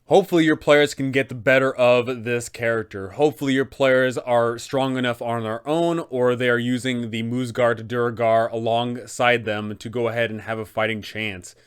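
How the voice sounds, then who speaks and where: A man's voice: medium pitch, steady tone, normal speed, few pauses, clean tone. A young adult man hosting an explanatory tabletop game video, speaking in an enthusiastic, emphatic way. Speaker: host, game master advisor. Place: small room indoors, seated.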